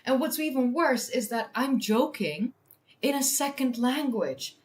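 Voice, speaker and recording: A Dutch woman hosting a science livestream, speaking English as a second language with a Dutch accent, reading from a study and chatting to viewers in an excitable, self-deprecating way with casual swearing. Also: sits high, measured pace. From Netherlands. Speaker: science communicator and livestream host.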